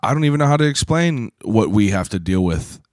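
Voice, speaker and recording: Man, thirties, hoarse, podcast host, rambling, profane, lowish smooth voice, dry close sound. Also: big pitch swings, brisk, darkish tone, no echo. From Pittsburgh, USA. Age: 30s. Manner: rambling, profane, self-deprecating; frequent "uh" fillers and throat clearing. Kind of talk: casual solo monologue.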